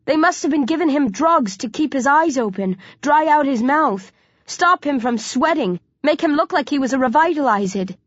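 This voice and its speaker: A woman's voice: high-pitched, expressive, moderate pace, no echo, highs cut off, pitched above the performer's own voice. A woman voicing a desperate, pleading teenage zombie in an audiobook, urgent and impassioned. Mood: frantic babbling. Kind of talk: audiobook character dialogue.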